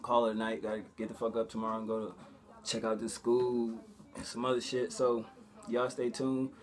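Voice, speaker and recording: A man's voice: medium pitch, completely flat delivery, moderate pace. Casual, slangy man vlogging. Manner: slangy, profane, conversational. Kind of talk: casual vlog talk to viewers.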